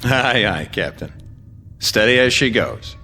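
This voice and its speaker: A steady, practical male pilot in a science fiction radio drama. His voice is medium in pitch, with soft music in the background. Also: a little slow, heavily acted. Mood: calm wonder.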